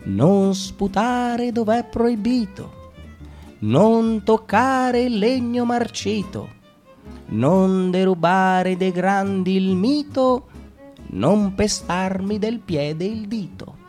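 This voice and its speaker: A man voicing a pompous preaching saint who gives slow, sing-song rhyming warnings. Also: high-pitched, darkish tone, soft background music, voice pushed up. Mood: admonishing.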